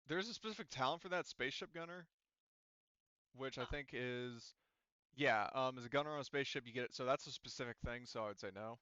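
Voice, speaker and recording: A man's voice: medium pitch, quick pace, pushed and bright, clean tone, dry recording, muffled recording. A man running a tabletop game as game master, talking casually and informally with the players.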